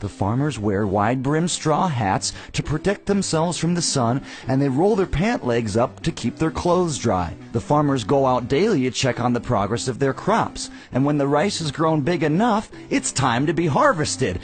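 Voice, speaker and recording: A man's voice: mid-range voice, sing-song, moderate pace, few pauses, natural voice. A man playing an earnest documentary narrator, reading scripted educational narration in a mock-serious cartoon. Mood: informative.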